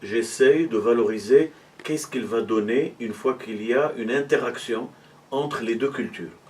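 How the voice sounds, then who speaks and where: A middle-aged man from Morocco who works as a university arts and culture officer, answering interview questions in a measured, explanatory way, with many hesitations. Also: medium pitch, a little mellow, distant mic.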